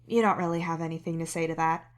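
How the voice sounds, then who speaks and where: A woman's voice: sits low, steady tone, fast. A woman reading scripted fiction as a narrator.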